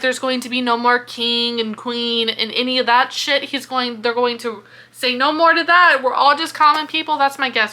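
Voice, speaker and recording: An American woman chatting casually as a book vlogger, excitable, dramatic and freely swearing. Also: high voice. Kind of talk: casual reading reaction chat.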